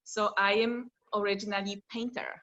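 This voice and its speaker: A woman with a Polish accent speaks in a bright, forceful voice, chatting about her work as a guest artist. Her English has non-native phrasing, and she laughs easily. The sound is dull and lo-fi, as from a video call. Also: mid-range voice, little pitch movement, normal speed. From Poland. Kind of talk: casual interview over video call.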